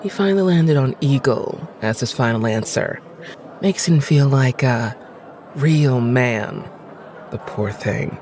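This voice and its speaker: Woman acting arch, deep-voiced, slow-spoken noblewoman. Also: a little mellow, slight background noise. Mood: wry, gossipy. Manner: arch, aristocratic, deliberate. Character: haughty, cynical, insistent noblewoman, lady of the house. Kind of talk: audio drama acting.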